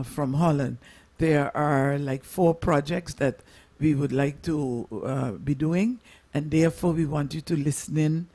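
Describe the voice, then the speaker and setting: Guy hosting local radio, slow and halting, kinda higher voice. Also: a little mellow. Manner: halting, wordy, formal phrasing. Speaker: host of a local radio program. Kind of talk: informal radio introduction and interviewing.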